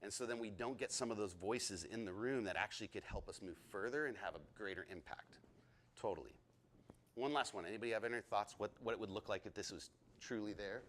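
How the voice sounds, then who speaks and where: A man in his thirties teaching a leadership lesson to a group, excitable and chatty, often asking the group questions. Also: fairly low pitch, a little fast, a little hiss or hum. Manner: excitable, talks a lot, asks the group questions.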